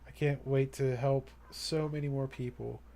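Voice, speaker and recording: A man's voice: medium pitch, fairly even intonation, moderate pace, creaky, warm tone, slight background noise. A young man chatting casually to his live-stream viewers, rambling and earnest, with many fillers and restarts. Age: young adult.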